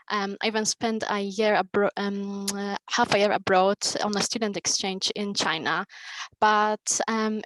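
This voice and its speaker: A woman's voice: medium pitch, no room sound, low-quality recording. Young polish woman on a work panel, lots of ums, dry close sound. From Poland. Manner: hesitant, many ums and restarts. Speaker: onboarding manager. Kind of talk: conference panel talk.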